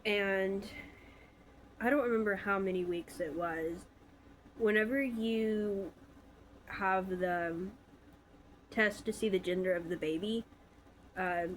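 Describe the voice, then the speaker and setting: A woman's voice: mid-range voice, a little hiss or hum, low-quality recording. A woman in her twenties from Alabama, stuffy from a cold, telling her own story in a casual, hesitant vlog with many fillers. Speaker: vlogger.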